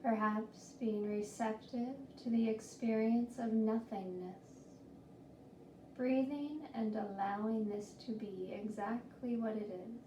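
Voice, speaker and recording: A woman leading a guided body scan meditation in a gentle, soothing, deliberate manner with long pauses. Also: fairly high pitch. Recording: roomy, distant mic with faint noise.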